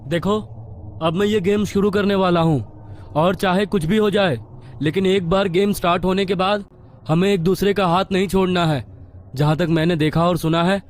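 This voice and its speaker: An actor playing a bossy young college student in an audio horror story, speaking in an instructive, insistent way. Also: male voice, high voice, steady tone, normal speed, natural voice. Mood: commanding. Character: young male college student, bossy, serious about spirit games.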